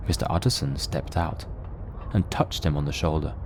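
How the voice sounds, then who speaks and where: A man narrating a dramatic story from a script in a measured, literary manner, as the narrator of an audio drama. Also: a bass voice, background noise.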